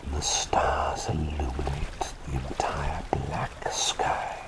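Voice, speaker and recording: A man playing a gentle, doting plague doctor in an ASMR roleplay, speaking in soothing, slightly formal, breathy whispers. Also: a bass voice, little pitch movement, slow pace. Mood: soothing, lulling. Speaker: ASMR performer.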